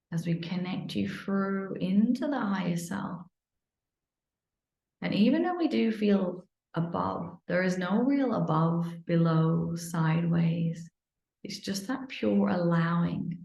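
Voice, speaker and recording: Creaky, mellow woman guiding meditation. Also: fairly low pitch, muffled recording. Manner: soothing, unhurried phrasing with breathy near-whispered moments. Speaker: guided meditation leader. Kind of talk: guided meditation, improvised live. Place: indoor room, live online video session. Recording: roomy, echoey sound.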